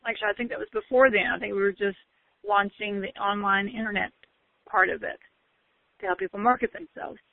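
A woman delivers a motivational talk in a loud voice, drawing out words she wants to land. The sound is thin and phone-like. There is no room echo at all. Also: medium pitch, steady tone, gravelly. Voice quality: loud, bellowing voice. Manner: emphatic, drawn-out stresses. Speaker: motivational speaker. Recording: tinny phone-like line, dry.

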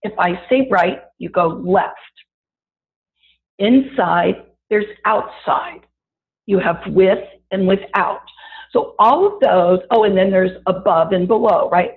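Raspy-voiced woman leading a body talk. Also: mid-range voice, slow. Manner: warm, chatty, addresses listeners directly. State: raspy voice after illness. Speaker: wellness teacher. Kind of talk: guided body-awareness talk. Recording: tinny phone-like audio.

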